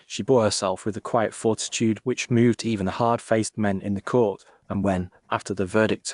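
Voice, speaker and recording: An actor with a British accent playing a reflective Victorian gentleman doctor, earnest and formal, in a dramatised story. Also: on the low side, dry recording, clean studio sound, lightly acted. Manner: formal, measured literary phrasing. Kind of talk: first-person dramatised narration.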